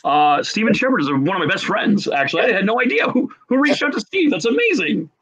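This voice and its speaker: A man's voice: high-pitched, sing-song, fast talker, a bit bright, dull audio. A man chatting casually as a guest on a video call from a room at home. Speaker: podcast guest. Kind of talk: casual chat.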